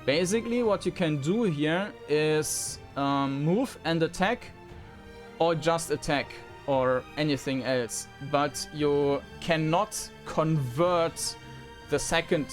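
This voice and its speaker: A man commentates on a game he is previewing, with music playing behind him. His delivery is very slow and hesitant as he thinks aloud, in a slightly raised pitch. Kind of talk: unscripted preview commentary over gameplay.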